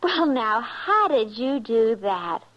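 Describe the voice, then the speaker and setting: High-voiced nagging housewife, vintage radio. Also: female voice, big pitch swings, takes it slowly. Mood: puzzled. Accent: American. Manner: insistent, chattering. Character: nagging, chatty, exasperated American housewife. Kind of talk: 1950s radio advertisement sketch acting. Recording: muffled vintage radio recording.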